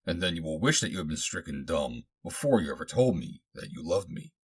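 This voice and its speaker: A man playing an honourable adventurer who speaks formally and earnestly, commanding yet gentle, in an adventure story. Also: low-pitched, big pitch swings, a little fast, breathy edge, full character voice. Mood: gentle warning.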